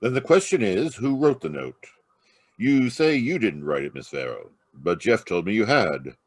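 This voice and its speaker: Low-voiced heroic Mountie sergeant, played by a man. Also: big pitch swings, normal speed, husky, no room sound. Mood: commanding, reassuring. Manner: authoritative, reassuring. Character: steady, brave Mountie sergeant hero, kindly commanding. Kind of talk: old-time radio drama acting. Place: remote online performance from a close mic.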